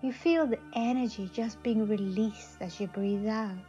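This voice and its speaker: A woman leading a guided meditation in a soothing manner, speaking in short phrases with long pauses. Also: on the high side, dark tone. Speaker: psychic advisor, meditation guide. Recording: slightly dull audio. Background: faint music.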